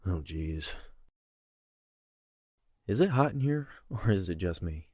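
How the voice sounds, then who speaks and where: A man playing a flirtatious, self-assured royal guard in an audio drama, teasing and husky. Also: low-pitched, very animated, slow, a little mellow, dry recording, clean studio sound, full character voice. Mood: flirty, teasing.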